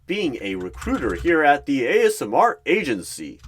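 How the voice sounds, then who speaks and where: A man acts out an over-excited male recruiter in an interview roleplay. He is big and boastful, booming out each line like a game show host. His voice is lifted high and loud over some background noise. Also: normal speed. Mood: booming enthusiasm.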